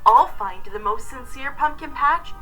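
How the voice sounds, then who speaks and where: A woman voicing an earnest, trusting, excitable little boy in a storybook read-aloud, full of wide-eyed, sincere assurance. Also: high-pitched, unhurried, soft background music, full character voice. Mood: hopeful.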